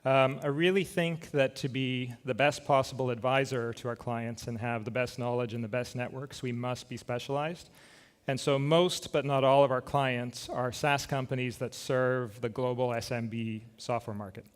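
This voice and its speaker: A man's voice: mid-range voice, steady tone, moderate pace, clean tone. Casual man on stage. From Scotland. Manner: casual, self-deprecating, frequent filler words. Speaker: conference keynote speaker. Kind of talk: conference talk with slides. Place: conference stage. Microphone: stage microphone.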